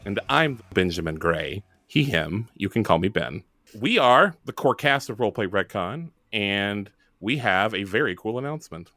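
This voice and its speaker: A man's voice: lowish voice, expressive, moderate pace, pushed and bright, no echo, faint music behind. A man hosting a podcast in a casual, chatty style. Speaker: podcast host.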